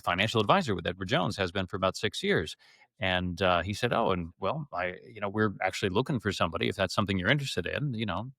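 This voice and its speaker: A middle-aged man with a fairly low voice talks as a guest in an interview. He rambles and halts, doubling back on his words as he explains things. The sound is very dry, with no room around it. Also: a bit bright, no room sound. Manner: rambling, halting, repeats words. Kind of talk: conversational interview answers.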